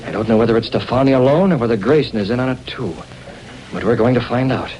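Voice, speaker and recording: A man plays a masked radio-serial avenger, shrewd and stern. His mid-pitched voice is formal and commanding. The old radio recording carries some noise. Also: quick pace, darkish tone, close to an everyday voice, low-quality recording. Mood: grave, resolute. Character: masked male vigilante hero, stern and shrewd. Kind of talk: 1930s-40s radio drama acting.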